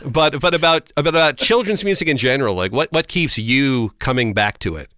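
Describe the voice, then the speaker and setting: A man hosting an interview, friendly, pausing and restarting his questions. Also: mid-range voice, animated, bright, forceful tone, no room sound. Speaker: interview host. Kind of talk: casual interview.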